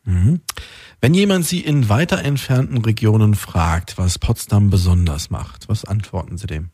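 A man's voice: on the low side, moderate pace, warm tone, husky, no echo, clean studio sound. A man hosting a radio interview, putting questions and addressing listeners in a conversational way.

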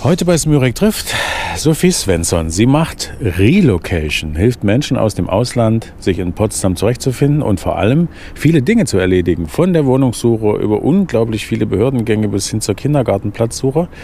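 Gravelly low-voiced radio host. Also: male voice, very animated, mellow, a little hiss or hum. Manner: brisk, conversational questioning. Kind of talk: radio interview.

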